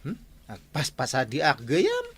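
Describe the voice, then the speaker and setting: An actor playing an excitable, obliging tricycle driver in an Ilocano village comedy drama. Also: male voice, mid-range voice, a little slow, slightly rough, heavily acted. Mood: surprised, eager.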